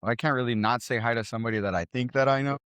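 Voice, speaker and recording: A young man from South Texas, a DJ guest in a barbershop, talking in a relaxed, rambling way full of "like" and "bro". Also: medium pitch, racing, clear, resonant tone, dry recording. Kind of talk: casual chat.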